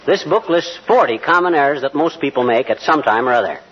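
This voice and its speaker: An actor playing a boastful, folksy husband and comic bumbler in 1940s radio comedy, using slangy speech. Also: male voice, medium pitch, animated, brisk, fairly bright tone, breathy edge. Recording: dull, noisy vintage radio recording.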